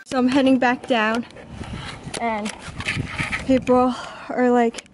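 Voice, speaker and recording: A young woman with a slightly high voice chatters excitedly to her handheld camera outdoors. She talks in slangy bursts, and loud background music runs under her voice. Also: slow. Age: young adult. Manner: excitable, slangy, exclaiming often. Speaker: vlogger. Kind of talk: casual vlog narration. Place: outdoors on a hilltop abandoned tower. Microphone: handheld camera at arm's length.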